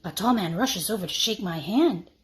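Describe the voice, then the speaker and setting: A woman reading a children's storybook aloud in an expressive, deliberate preschool-teacher manner. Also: medium pitch. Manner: animated, deliberate.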